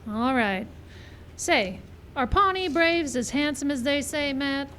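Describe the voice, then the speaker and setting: Radio western saloon gal woman acting: sassy, unflappable, higher-pitched, faint outdoor noise behind. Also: expressive, slow pace, a bit bright, exaggerated voice. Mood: playful, nonchalant. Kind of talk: live old time radio western acting. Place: live show in a park. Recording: live outdoor stage, noisy background.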